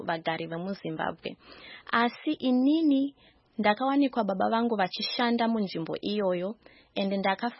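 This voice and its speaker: A woman's voice: mid-range voice, breathy edge, no room sound. A young woman from Zimbabwe with a Zimbabwean accent, a guest in a radio studio, answering earnestly in English and Shona with many hesitations. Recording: dry, slightly dull studio sound.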